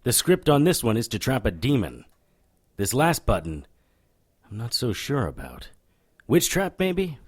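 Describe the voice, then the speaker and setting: A man's voice: medium pitch, wildly swooping pitch, unhurried, pauses often, slightly rough, no echo, studio quality, exaggerated voice. A man playing a cocky, sardonic occult detective in a noir-flavored audio drama, showy and needling. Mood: knowing.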